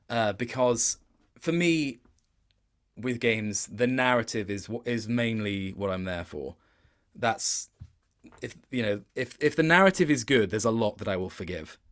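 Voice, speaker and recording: A man in relaxed conversation as an interview guest. He feels his way through his thoughts with hesitations, in a mid-pitched voice, and plays himself down a little. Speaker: interview guest, video game voice actor. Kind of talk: casual chat.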